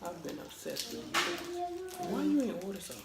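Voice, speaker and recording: Woman, hoarse, gravelly voice, relaxed eating chat, echoey room, background noise. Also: mid-range voice, normal speed. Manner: relaxed, chatty, talking between bites. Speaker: channel host. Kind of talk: casual mukbang chat while eating.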